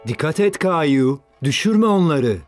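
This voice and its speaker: A man playing a gentle, sensible young father in a cartoon. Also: a little high, lively intonation, unhurried. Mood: concerned. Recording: music under the voice.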